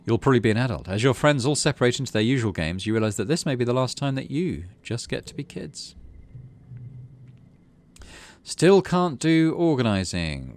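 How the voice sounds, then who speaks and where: A man hosting a gaming video, reading story text aloud and adding casual commentary as he plays. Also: mid-range voice.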